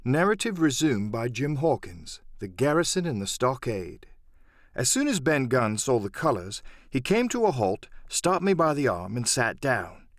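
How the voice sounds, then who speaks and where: A man's voice: mid-range voice, big pitch swings, normal speed, no room sound, clean studio sound. A man reading a first-person adventure story as a dramatic scripted audiobook narration.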